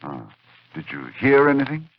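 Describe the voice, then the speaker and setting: An actor playing an urgent, dread-filled scholarly doctor in a dramatic 1940s radio horror play. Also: male voice, medium pitch, sing-song, normal speed, creaky. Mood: anxious questioning. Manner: dramatic, intense delivery. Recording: muffled 1940s radio recording, music behind.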